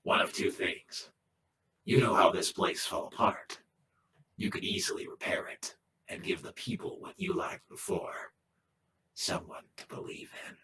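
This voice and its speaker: A man voicing a calm, persuasive figure offering a weighty choice in a game cutscene, speaking in measured, hushed phrases. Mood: persuasive, grave. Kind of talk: slow, dramatic game cutscene dialogue. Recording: distant, roomy, faint background noise.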